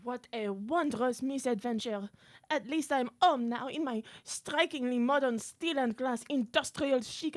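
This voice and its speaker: A woman's voice: a little high, no room sound, close to an everyday voice. A woman playing a dramatic, grandiose secretary with a mysterious past in a comedy radio serial. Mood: self-satisfied. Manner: theatrical, grandiose.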